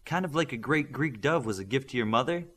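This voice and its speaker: Guy doing a young healer woman from a superhero audiobook, earnest, explains stuff plainly, bit raspy. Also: mid-range voice, animated, fairly quick, a bit bright. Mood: mildly teasing. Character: caring, matter-of-fact young female superhero healer.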